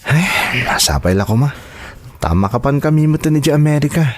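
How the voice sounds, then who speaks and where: A man's voice: fairly high pitch, lively intonation, brisk, natural voice. A man playing an earnest, reassuring son and devoted husband in an audio drama. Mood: reflective, hopeful. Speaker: voice actor in a drama. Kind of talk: Ilocano audio drama acting.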